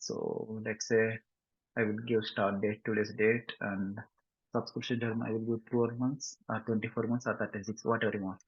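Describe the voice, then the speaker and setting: A man with a Telugu Indian accent giving a step-by-step software tutorial, mixing in English and often checking understanding with short tag questions. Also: lowish voice, little pitch movement, very slow, breathy edge. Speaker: software instructor. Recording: muffled lo-fi mic, roomy echo.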